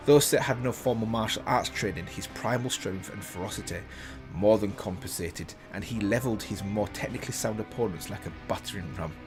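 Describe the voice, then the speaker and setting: A man reading a text aloud as a reaction video host, breaking off for casual comments of his own. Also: lowish voice. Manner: casual asides while reading. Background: loud background music.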